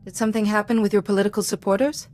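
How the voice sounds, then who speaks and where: A woman playing a calm, compassionate Jedi diplomat in a video game, with a measured, formal, reasoning manner. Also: mid-range voice, lightly acted. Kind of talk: video game dramatic voice acting.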